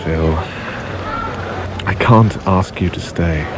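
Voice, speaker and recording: A man's voice: sits low, slow pace. A man with a British accent playing a dry, steadfast radio reporter in a radio drama. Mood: dry, wry. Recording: loud outdoor city and water noise behind.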